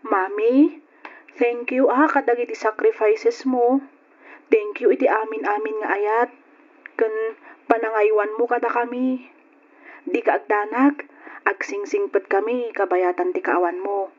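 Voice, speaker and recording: A woman's voice: on the high side, fairly even intonation, measured pace, pauses often. A woman playing a grateful teenage daughter who thanks her mother, in an old radio drama. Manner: sweet, grateful. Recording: muffled old radio recording.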